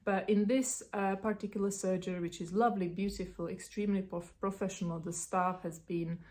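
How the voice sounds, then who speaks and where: A woman's voice: mid-range voice, steady tone, fairly quick, a little mellow. A woman in her thirties from the Czech Republic with a Czech accent, chatting casually to camera in a rambling way with many fillers and restarts. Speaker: beauty vlogger.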